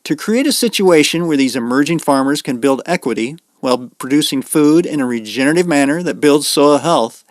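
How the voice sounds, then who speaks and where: A man's voice: on the high side, expressive, a little fast, hardly any pauses, dry recording. A man with an American accent reading scripted narration as a podcast host.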